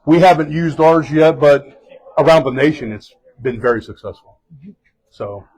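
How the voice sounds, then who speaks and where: A fire chief leading a group around a building indoors, speaking loudly in a casual, halting way with many fillers and restarts. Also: male voice, on the high side, husky, poor audio. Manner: loud voice. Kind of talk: casual spoken tour guiding.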